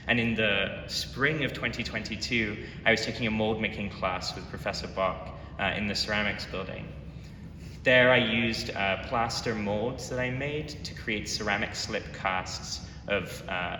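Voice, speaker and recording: A young man from London talks earnestly and reflectively about his work, his voice slightly low. He is picked up from far off in a long-ringing hall, with a steady rumble of noise behind him. The sound is that of a museum gallery talk. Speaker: artist giving a gallery talk. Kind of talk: presentation talk. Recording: echoey hall, mic far off, noisy rumble.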